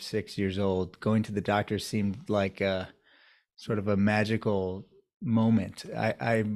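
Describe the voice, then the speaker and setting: A man with a somewhat low voice answers interview questions as a doctor. He talks in a measured, reflective way, building his answers step by step. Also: a little mellow. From United States, New York area. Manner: measured, thoughtful storytelling. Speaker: urologist guest.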